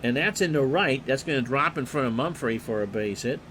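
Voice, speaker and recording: A man calling a replayed baseball game play-by-play in a calm, unhurried way, reading player stats and chatting with viewers. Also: mid-range voice, a little hiss or hum, low-quality recording. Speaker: play-by-play announcer and streamer.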